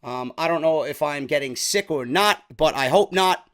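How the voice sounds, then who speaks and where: A young man from New York, chatty and slightly hoarse from a sore throat, reviewing a wrestling show in a casual vlog. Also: fairly high pitch, moderate pace. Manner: chatty, keeps calling viewers "man".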